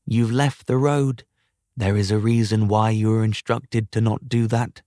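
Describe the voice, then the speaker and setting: A man voicing a calm, guiding female spirit in a storybook reading, serene, formal and cryptic. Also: lowish voice, a little slow, no echo, studio quality, close to an everyday voice. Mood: admonishing. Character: calm, guiding ghost of Scrooge's sister.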